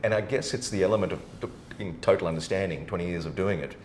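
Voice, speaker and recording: A man's voice: deep voice, fast talker, a little hiss or hum. A middle-aged man hosting a podcast in casual conversation, recorded in a roomy space. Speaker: podcast host.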